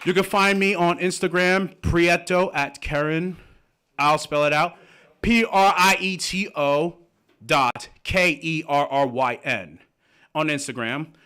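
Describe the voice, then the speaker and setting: A woman's voice: sits low, slow, fairly bright tone, smooth. A woman singing on stage and leading the crowd in call and response. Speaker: singer performer. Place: small performance venue, indoors.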